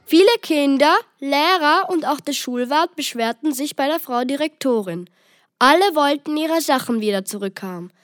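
A child narrates a children's audio story from a script. The voice is high, and the recording is dry. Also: animated, moderate pace, fairly bright tone, no echo.

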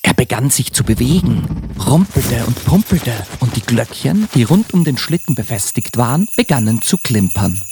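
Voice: medium pitch, sing-song, moderate pace, creaky, a little mellow, a little hiss or hum. A narrator reading scripted storybook narration for a Christmas radio play.

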